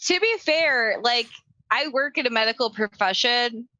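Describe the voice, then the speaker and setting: A woman talks casually as a player in a tabletop game. She has a bright voice, captured dry on a slightly dull, lo-fi track. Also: a little high, lively intonation, normal speed, dry recording.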